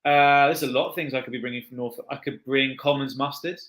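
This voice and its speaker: A middle-aged man with a gentle Norwich accent talks casually as a guest. His tone is bright and forward, and he laughs easily between hesitant fillers. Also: mid-range voice, fairly even intonation, fast talker. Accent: Norwich, England. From Norwich, England. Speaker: guest expert. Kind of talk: casual chat.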